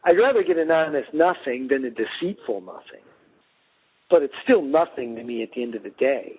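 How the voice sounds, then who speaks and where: A man co-hosting a casual podcast, talking over the phone in a rambling, animated way full of "like" and restarts. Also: medium pitch, a little fast, husky. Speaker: podcast co-host. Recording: tinny phone line.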